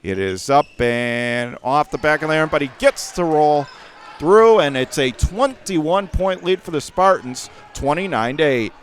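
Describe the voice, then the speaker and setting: A man doing live radio play-by-play of a basketball game in clipped running phrases, with excitable calls. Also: a little high, measured pace, a little hiss or hum. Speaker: play-by-play commentator.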